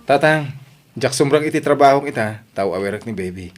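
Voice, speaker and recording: A man's voice: medium pitch, animated, a little fast, over music, close to an everyday voice. An actor playing a dutiful grown son, a young working man, in an audio drama with an Ilocano accent. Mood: respectful.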